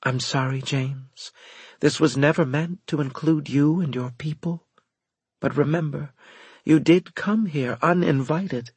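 A man voicing a solemn, resigned alien woman in an audiobook, speaking with grave, gentle, measured delivery. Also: fairly high pitch, husky, dry recording, pitched above the performer's own voice, full character voice. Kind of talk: audiobook character dialogue.

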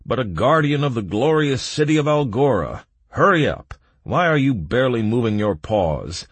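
A man's voice: fairly low pitch, big pitch swings, slow pace, vocal fry, a bit bright, slightly rough, dry recording, voice pushed up, full character voice. A man voicing a stern, impatient city prison guard who growls and barks orders in a fantasy audiobook. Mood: angry shouting. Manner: barking orders, grim.

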